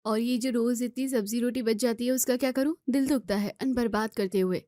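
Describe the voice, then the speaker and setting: Woman acting a fretful young housewife, pitched up. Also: fairly even intonation, a little fast, dark tone, no room sound, clean studio sound, close to an everyday voice. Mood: fretful, teasing. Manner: fretful, emphatic. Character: anxious, complaining young Indian daughter-in-law and housewife. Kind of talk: acted Hindi family story dialogue.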